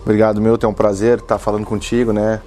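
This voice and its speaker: A man's voice: lowish voice, completely flat delivery, fast, warm tone, soft background music. A man in his thirties with a Gaúcho Brazilian accent, chatting as an interview guest, with many restarts and tag questions. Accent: Gaúcho Brazilian Portuguese. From Rio Grande do Sul, Brazil. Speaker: interview guest, motivational speaker. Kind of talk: casual interview conversation.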